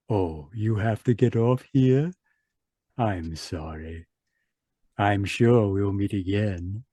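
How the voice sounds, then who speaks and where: A man's voice: lowish voice, warm tone, no room sound. A man acting a mysterious traveler who narrates eerie tales in old-time radio mystery style, chatty and insinuating. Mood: politely regretful.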